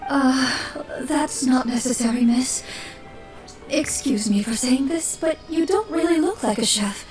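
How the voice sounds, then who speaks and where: A woman's voice: sits high, measured pace, soft background music, voice pushed up. A woman voicing a timid, troubled townswoman who answers politely and hesitantly. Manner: hesitant, polite, soft-spoken.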